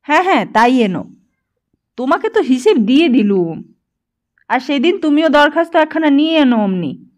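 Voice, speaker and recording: A woman's voice: high-pitched, big pitch swings, moderate pace, pauses often, pitched above the performer's own voice. A woman voicing an older male office boss in an audiobook, patronising and self-justifying, with an emphatic way of talking. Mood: reassuring. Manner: emphatic, counting out sums aloud.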